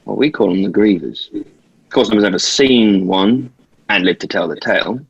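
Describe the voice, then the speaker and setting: A man reads a seasoned young leader guiding a newcomer through a dangerous place. His voice is low and husky, explaining things in a table-read drama, and the recording is slightly muffled. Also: expressive, normal speed, heavily acted. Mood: grave, ominous.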